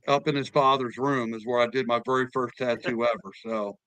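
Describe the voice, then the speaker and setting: A man in his fifties hosts a podcast and tells stories at length, often repeating himself as he gets going. His voice is bright and smooth, with a slightly high pitch and a dry close sound. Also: steady tone, fairly quick, dry recording, poor audio. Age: 50s. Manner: talkative storyteller, repeats words. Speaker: podcast host. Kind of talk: casual podcast chat.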